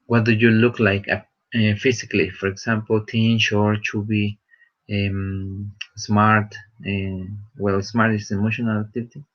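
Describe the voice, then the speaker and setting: Low-voiced man teaching English. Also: flat delivery, fairly bright tone. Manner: halting, repeats and rephrases, checks with "right?". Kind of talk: online lesson, explaining a task. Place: online session with screen sharing. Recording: dull lo-fi online session audio.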